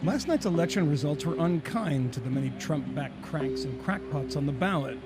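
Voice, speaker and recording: A man reading a wry, measured news narration. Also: a little high, expressive, loud music behind the voice.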